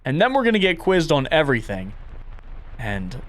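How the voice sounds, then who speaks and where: A man doing casual video game playthrough commentary, reading prompts aloud and reacting as he thinks out loud. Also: a little high, huge pitch swings, normal speed. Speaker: gaming content creator and streamer.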